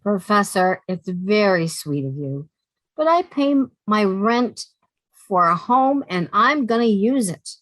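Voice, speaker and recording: A woman playing a sensible, exasperated young roommate in a 1950s-style radio comedy, with a brisk, put-upon delivery. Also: medium pitch, expressive, fairly bright tone, dry recording. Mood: fed up.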